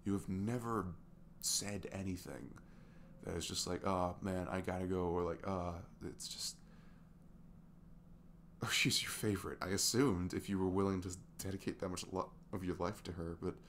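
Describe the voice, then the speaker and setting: Deep, gravelly, tender single dad, acted by a man. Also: fairly even intonation, normal speed, slight background noise. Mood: tender, grateful. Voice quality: soft, breathy, often dropping to a whisper. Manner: hesitant, gentle, murmured. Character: sweet, earnest single dad, tender and shyly flirting. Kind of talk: intimate ASMR roleplay acting.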